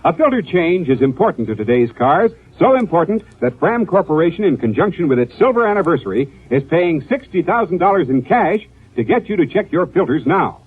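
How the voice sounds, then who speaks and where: A tinny old radio recording of a male announcer giving a 1950s commercial. His high voice swings with lively emphasis as he sells hard. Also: brisk, few pauses, breathy edge, no echo. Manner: emphatic, repetitive salesman delivery. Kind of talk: 1950s radio advertisement pitch.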